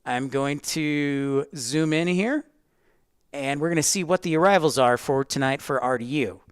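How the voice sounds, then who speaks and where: A man's voice: fairly high pitch, moderate pace, clear, resonant tone, no echo, clean studio sound. A man hosting a livestream, casually explaining online tools step by step and chatting directly with viewers in an informal way. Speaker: livestream host teaching plane-spotting tools.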